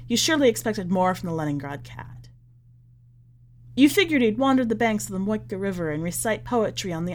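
A woman reading in a measured, expressive way, as a podcast host presenting and narrating short fiction. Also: medium pitch. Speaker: podcast host and story reader.

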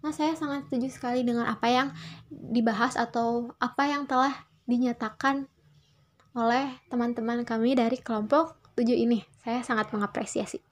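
A woman's voice: fairly high pitch, fairly even intonation, normal speed, a little hiss or hum. A young woman gives a prepared student response in a polite, formal manner, often repeating and rephrasing her phrases. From Indonesia. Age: young adult. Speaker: student presenter. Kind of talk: prepared spoken class response.

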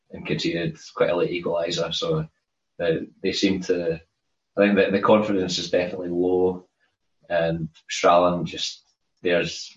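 A Scottish man co-hosting a podcast, chatting casually and rambling, often repeating words as he starts a thought. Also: sits low. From Scotland. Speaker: podcast co-host. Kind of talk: casual chat. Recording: roomy dull lo-fi sound.

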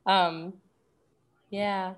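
A young woman from the UK, raised in Asia, with a British accent tinged by Singaporean English, talking things through thoughtfully with many pauses and restarts. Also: mid-range voice, flat delivery. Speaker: guest. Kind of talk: conversational interview.

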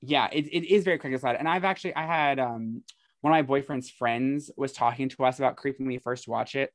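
A man talks as a podcast co-host in a casual, informal way. His pitch is average for a man and he repeats phrases for emphasis. The sound is dry, with little room around the voice. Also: fairly quick, dry recording. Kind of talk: casual chat about horror movies.

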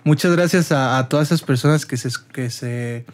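Mexican accent, interview host, chatty, affirming, mid pitch. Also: moderate pace. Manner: chatty, affirming, frequent backchannel. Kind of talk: interview conversation.

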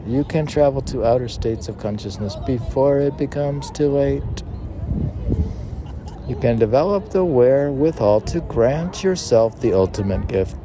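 A man reading his own poems aloud outdoors, line by line in a halting way, with casual asides. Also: mid-range voice. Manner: halting, spells out words, reads aphorisms line by line. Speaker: poet reading own work. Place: outdoors on a city museum lawn. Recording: outdoor recording with clear urban noise.

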